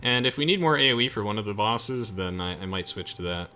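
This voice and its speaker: A man's voice: lowish voice, expressive, quick pace, sharp tone. A young man giving casual, chatty gameplay commentary to friends, explaining things with hesitant trailing asides. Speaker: gamer hosting a let's play.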